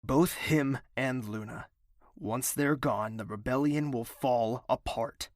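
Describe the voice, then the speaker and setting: A dry, close recording of a man acting as a young villain prince in an audio drama. His voice sits mid-range, and he speaks formally and deliberately, with imperious, bitter command. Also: mid-range voice, no echo, lightly acted. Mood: indignant, cold.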